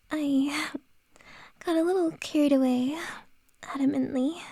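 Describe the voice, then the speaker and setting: A woman voicing a flustered lady boss in an ASMR roleplay, whispering softly and haltingly close to a dry, close mic. Her voice sits high, mellow and unhurried. She pauses often between words. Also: no echo. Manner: soft whispering, hesitant and halting.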